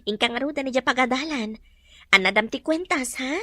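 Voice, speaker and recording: A woman's voice: high voice, a little fast, sharp tone, no room sound. A woman with an Ilocano accent playing a concerned mother cautioning her young daughter in a radio drama. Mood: concerned, firm.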